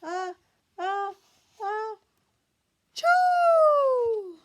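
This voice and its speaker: A woman plays a worried storybook elephant who is always on the edge of a sneeze. He speaks very slowly and haltingly, and his pitch swings widely. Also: sing-song, full character voice. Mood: about to sneeze. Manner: halting, drawn-out build-ups. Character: sneezy male cartoon elephant, hesitant and worried.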